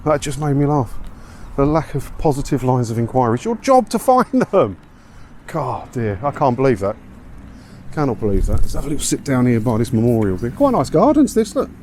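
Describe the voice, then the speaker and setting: A man's voice: mid-range voice, sing-song, brisk, darkish tone. A man chatting casually as he walks outdoors, giving sardonic, grumbling commentary on the news and often asking rhetorical questions. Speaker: vlogger hosting a commentary video. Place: outdoors, walking around an English seaside town. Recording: outdoor windy street noise.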